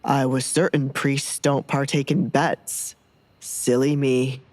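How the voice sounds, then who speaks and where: Woman as a low, slow, menacing nobleman. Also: flat delivery, a little mellow. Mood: mocking. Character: menacing, teasing, smug male nobleman, newly married.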